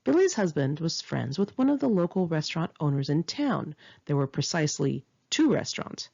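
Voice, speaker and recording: Woman reading her memoir, lowish voice with lots of fry, earnest, kinda muffled home recording. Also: big pitch swings, normal speed, a little mellow. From United States. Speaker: author reading own memoir.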